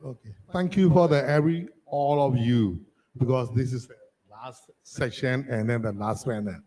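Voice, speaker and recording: A man from Myanmar whose first language is Arakanese, telling a childhood story as a panelist in halting, restarting English. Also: medium pitch, mellow, rough recording. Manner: halting, restarts sentences, non-native phrasing. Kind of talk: personal story at a panel discussion.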